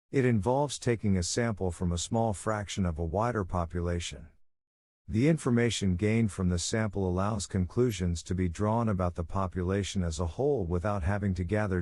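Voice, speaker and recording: A man reading scripted practice passages aloud in a measured, formal manner. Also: deep voice, a little mellow, dry recording. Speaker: narrator of exam practice passages. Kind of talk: scripted read-aloud narration.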